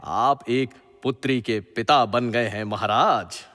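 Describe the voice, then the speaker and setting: A man playing a deferential, excitable royal adviser in a mythological audio drama, with a formal and theatrical delivery. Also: mid-range voice, takes it slowly. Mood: respectful, glad. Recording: long hall reverb.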